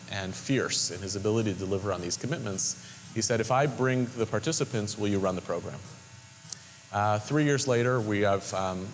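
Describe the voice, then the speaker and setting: A man acting as moderator, giving a measured, articulate introduction with frequent ums. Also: fairly low pitch. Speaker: moderator and interviewer. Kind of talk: public talk introduction. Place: large synagogue hall. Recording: long hall reverb, faint noise.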